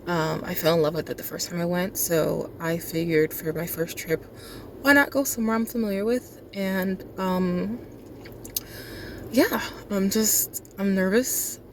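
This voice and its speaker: A young woman in her twenties rambles into her phone at an airport terminal, her voice sitting fairly low. She's running on no sleep, chatty and loose, with lots of likes and ums. Faint ambient noise hums in the background. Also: measured pace. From South Florida. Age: 20s. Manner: rambling and chatty with many fillers. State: sleep-deprived. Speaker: vlogger and night shift nurse. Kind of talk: casual vlog chat.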